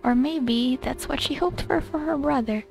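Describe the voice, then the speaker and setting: Girl gamer chatting casually over game music, higher voice with some vocal fry, giggly. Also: normal speed. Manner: giggly, reads game text aloud between reactions. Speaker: gamer recording a story quest playthrough. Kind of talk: casual reactive gameplay commentary.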